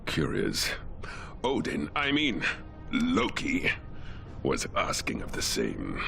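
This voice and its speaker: An actor playing a stalwart, solemn guardian warrior in a video game, gravelly and formal, with music behind. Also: male voice, extremely low pitch, wildly swooping pitch, slow pace, full character voice. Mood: stoic.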